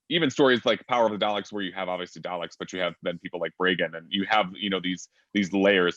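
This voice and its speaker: A man's voice: low voice, fairly bright tone, no echo. A man hosting a casual panel discussion over a video call, chatty and rambling with many fillers. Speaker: panel host. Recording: dull lo-fi video call audio.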